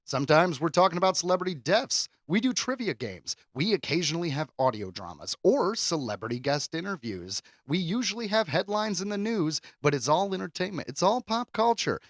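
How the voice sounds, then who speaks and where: A man's voice: high voice, big pitch swings, fairly quick, hardly any pauses, no room sound, clean studio sound. A man co-hosting a podcast in casual, jokey chat, telling things with theatrical flair. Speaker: podcast co-host.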